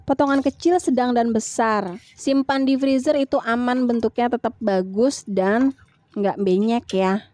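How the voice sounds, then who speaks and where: A woman's voice: fairly high pitch, measured pace, a little mellow. A woman with an Indonesian accent narrating a home vlog as a mother, in a chatty, colloquial way.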